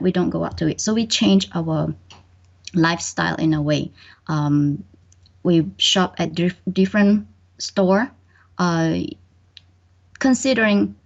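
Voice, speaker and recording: A woman's voice: fairly low pitch, creaky, a little mellow. A middle-aged woman from Indonesia, raised in Malaysia, answering interview questions hesitantly with many ums. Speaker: bookkeeper and guest. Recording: dull lo-fi audio.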